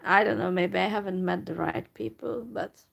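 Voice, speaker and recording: A woman from Hungary with a Hungarian accent, talking in a live video monologue in a downcast, resigned way, full of hesitant pauses. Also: medium pitch, creaky. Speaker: YouTuber.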